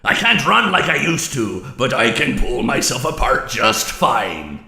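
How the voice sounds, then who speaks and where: An actor voicing a cunning old pirate fox in a video game, with a gravelly voice and a stage pirate accent. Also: male voice, mid-range voice, very animated, measured pace, sharp tone, heavily acted. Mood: gloating. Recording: echoey room.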